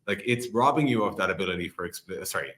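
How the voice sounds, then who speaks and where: A man's voice: low-pitched, lively intonation, fast talker, fairly bright tone. A man speaking as a podcast guest in a conversational tech interview, explaining animatedly with analogies and quoted examples.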